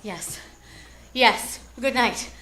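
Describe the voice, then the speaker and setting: A woman's voice: a little high, drawn-out words, sharp tone, heavily acted. A woman playing a proud, secretive and anxious client in a 1940s-style radio detective drama, earnest and melodramatic. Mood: shaken. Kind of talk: 1940s radio noir acting. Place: live radio drama on a theatre stage.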